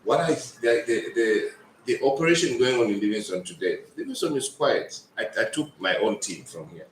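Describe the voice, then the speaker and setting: A man's voice: mid-range voice, very animated, moderate pace. A man giving an informal, emphatic address to a meeting as an official. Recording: echoey room, faint noise.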